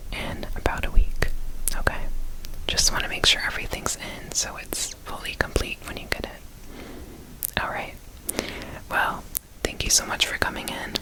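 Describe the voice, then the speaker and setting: A woman whispering in a breathy, unvoiced voice, gently and reassuringly guiding the listener through an ASMR roleplay examination, often repeating words. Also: rough recording. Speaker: ASMR performer playing an alien researcher.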